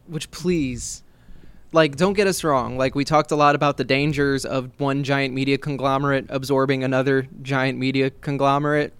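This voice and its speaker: A man's voice: mid-range voice, level tone, moderate pace, a bit bright, smooth, no room sound. A man co-hosting a casual podcast chat, blunt and sarcastic in his opinions. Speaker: podcast co-host.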